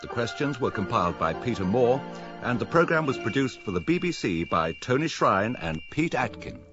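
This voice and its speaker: A man's voice: medium pitch, big pitch swings, moderate pace, highs cut off. A man reading scripted programme credits as a radio announcer. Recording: band-limited radio broadcast. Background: music.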